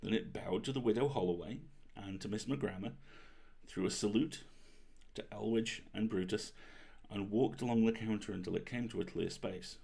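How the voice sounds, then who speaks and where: A man reading a bedtime story aloud as host, with expressive, deliberate phrasing. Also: fairly low pitch, vocal fry, husky.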